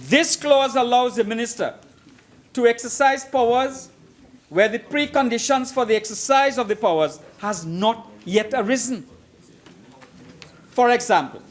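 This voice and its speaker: A man with a notably high-pitched voice delivers a formal speech in parliament. He is emphatic and deliberate, often repeating a phrase to give it weight, in the measured register of legislative debate. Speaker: member of parliament. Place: parliament chamber.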